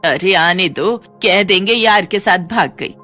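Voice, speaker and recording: A woman voicing a cruel, scolding old mother-in-law with drawn-out, taunting exclamations in a cartoon story. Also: on the low side, pushed and bright, faint music behind. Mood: annoyed, callous.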